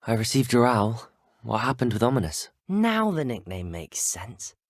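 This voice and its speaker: Earnest young British wizard student. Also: male voice, medium pitch, wildly swooping pitch, moderate pace, dry recording, studio quality. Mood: friendly, curious. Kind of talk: video game character dialogue.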